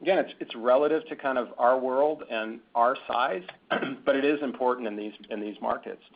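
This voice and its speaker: A man's voice: mid-range voice, creaky. A man, a company executive, answers questions on an earnings call in a semi-formal, explanatory way, often repeating words as he goes. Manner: halting, repeats words and phrases. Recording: dull, band-limited conference call audio.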